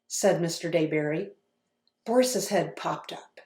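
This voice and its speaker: A woman author reading her children's book aloud in slow, expressive storytelling. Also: sits low. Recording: roomy echo.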